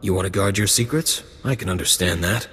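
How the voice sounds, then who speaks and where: An actor playing a gruff, blunt gang lieutenant recruiting for a criminal crew in a video game. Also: male voice, sits low, fairly quick, fairly bright tone, long reverb tail. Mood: testing, gruff. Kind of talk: video game dialogue acting.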